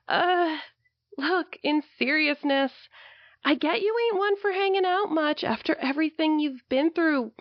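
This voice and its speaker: A woman's voice: very high-pitched, dry recording, dull audio, heavily acted. A woman playing a teenage girl's best friend who jokes and coaxes her to come out. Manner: joking, affectionate, coaxing. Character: teen girl best friend, playful, pleading.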